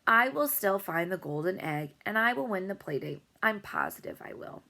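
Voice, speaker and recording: A scheming little boy from a children's story who makes everything dramatic and lowers his voice for secrets, as a woman reading aloud puts him on. The tone is bright and the pitch moves a lot. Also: expressive, normal speed, gravelly. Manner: theatrical, conspiratorial, hushed at times.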